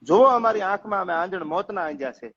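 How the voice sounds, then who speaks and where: A man with a high voice speaks in an impassioned, emphatic monologue. He talks quickly and informally. The recording is a little dull and lo-fi. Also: expressive, a bit bright.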